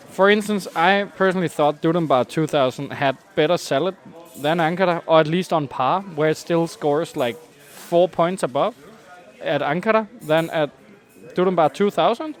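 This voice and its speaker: Wry, fairly high-voiced young man. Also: normal speed. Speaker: podcast co-host. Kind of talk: casual chat.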